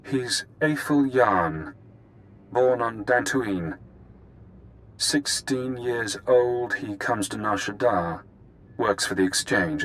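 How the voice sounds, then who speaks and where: An actor playing a coldly persuasive intelligence schemer in a video game, speaking in a measured, clinical, controlled way. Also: male voice, fairly low pitch, fairly bright tone, low-quality recording. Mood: clinical, intent. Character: calculating, coldly persuasive male intelligence schemer, a genetically bred prisoner.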